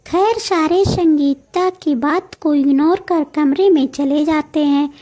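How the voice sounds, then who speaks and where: A woman's voice: sits high, slow, dark tone. A woman reading scripted narration for a Hindi cartoon story.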